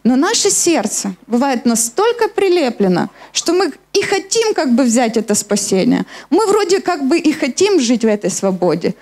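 High-pitched woman preacher. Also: a little mellow. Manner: explanatory, addressing listeners with questions. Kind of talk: sermon with scripture reading. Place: church hall stage at a lectern, indoors. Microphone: handheld microphone.

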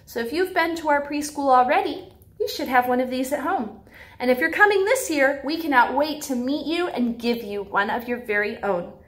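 A woman's voice: sits high, moderate pace. A young American woman speaking simply and expressively to small children, like a preschool teacher doing a read-aloud. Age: young adult. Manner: animated, simple and clearly phrased for children. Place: small classroom, indoors.

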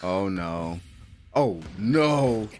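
A young American man giving excited, slangy reaction commentary at home on a close microphone, with an exclamatory manner. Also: fairly low pitch, drawn-out words, faint music behind. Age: young adult. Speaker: reaction video host. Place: small room at home. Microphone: close desk microphone with headphones.

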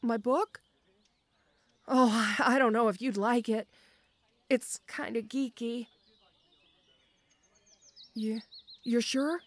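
Shy tomboy orc schoolgirl, played by a woman, high-pitched, close dry sound, hesitant and bashful, audio roleplay. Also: fairly even intonation, slow pace, long pauses, no echo. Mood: shy, earnest.

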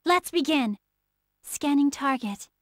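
A woman's voice: high-pitched, lively intonation, slow, no echo, studio quality. A woman playing an earnest, determined young female soldier in a fighting game, giving short, emphatic battle calls. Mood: calm, resolute.

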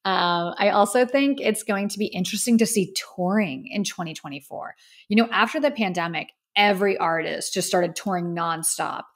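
A woman's voice: medium pitch, moderate pace. A woman in her thirties from the United States, hosting a solo podcast and giving her opinions in an earnest, emphatic, conversational way. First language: English. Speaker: podcast host. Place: indoor studio with a plain backdrop.

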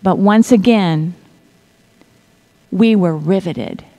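A middle-aged woman preaching a sermon, reading scripture in a measured way and dropping to a whisper for effect. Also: lowish voice. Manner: slow, deliberate delivery with pauses and soft whispered stretches; asks the congregation to repeat lines.

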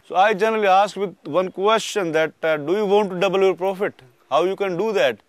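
Higher-voiced Indian man in interview. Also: brisk, no echo. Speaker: agribusiness representative. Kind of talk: informal interview explanation.

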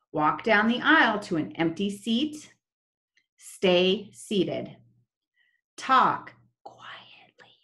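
A young woman teaching children in an online lesson, talking slowly and in a sing-song way over a laptop webcam. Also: medium pitch, a bit bright. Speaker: special education teacher. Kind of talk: online lesson with screen share. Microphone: laptop webcam, video call.

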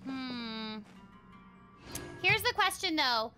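A young woman with a high-pitched voice talks casually through her game on a livestream. She thinks aloud in a chatty way, indoors at her mic, with game music clearly playing behind her. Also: sing-song, extremely slow, pushed and bright. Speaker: streamer, voice actor.

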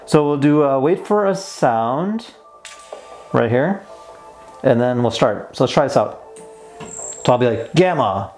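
A man in his twenties walks viewers through a gadget in a casual, easygoing way. He sounds mildly enthusiastic, with clear music playing behind his voice in an indoor room. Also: mid-range voice, lively intonation, a little slow, long pauses, darkish tone. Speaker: host of a toy review channel. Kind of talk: casual hands-on product demo. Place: plain indoor room at a table.

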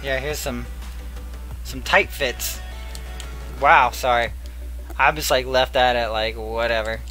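A young man chatting casually while opening card packs, murmuring card names and getting excited now and then, his voice going hoarse. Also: mid-range voice, takes it slowly, soft background music. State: losing his voice. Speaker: card pack opener. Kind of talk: casual pack-opening commentary. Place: small room at a table. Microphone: headset.

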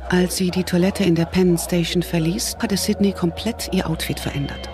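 A male narrator with a rather high voice reads a scripted thriller audio drama. Clear music plays under his narration. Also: flat delivery, moderate pace.

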